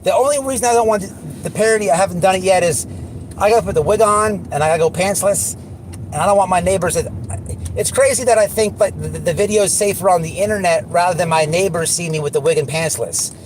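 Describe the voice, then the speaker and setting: A man's voice: high-pitched, quick pace. A man hosting a casual livestream, talking in a blunt, slangy, profane way. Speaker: livestream host. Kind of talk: casual livestream chat and commentary. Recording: noisy recording with car sounds.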